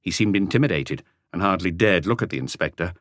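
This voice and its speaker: A man's voice: sits low, expressive, brisk, fairly bright tone, no room sound, studio quality. A man reading scripted narration as the narrator of a mystery audiobook.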